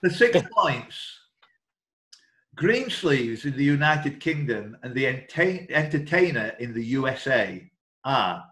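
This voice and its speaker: A man hosting a quiz on a group video call, reading questions in a deliberate way with informal banter. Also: mid-range voice, very animated, a bit bright. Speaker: quiz host and question setter. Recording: echoey room over video call.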